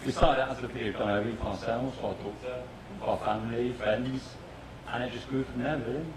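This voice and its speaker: A man's voice: mid-range voice, a little fast, noisy background. A man, a vlogger talking about his channel in an easygoing way. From United Kingdom. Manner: easygoing, relaxed. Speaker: vlogger, panelist. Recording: distant in an echoey hall, crowd.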